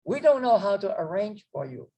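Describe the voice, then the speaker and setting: A man, telling his life story to a group, rambling and halting with many fillers and restarts. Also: high-pitched, sing-song, vocal fry, dark tone. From China. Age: 90s. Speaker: guest speaker. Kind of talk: storytelling memoir.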